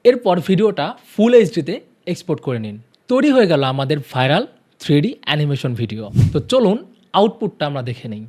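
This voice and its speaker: A man's voice: fairly high pitch, sing-song, quick pace, a little mellow. A man presenting an instructional tutorial, guiding viewers directly step by step.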